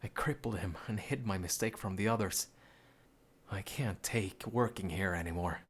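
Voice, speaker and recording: A man's voice: low voice, normal speed, slightly rough. A man voicing a troubled, bitter young man in a grim, brooding game monologue. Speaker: game protagonist.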